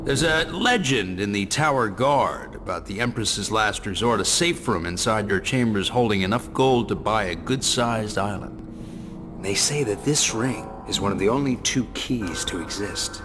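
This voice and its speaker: A treacherous aristocrat turned guard captain in a video game. His voice sits a little low, arrogant and bitter, musing with smug greed. Music is clearly heard behind him. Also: lively intonation, measured pace. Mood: greedy, musing. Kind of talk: dramatic video game acting.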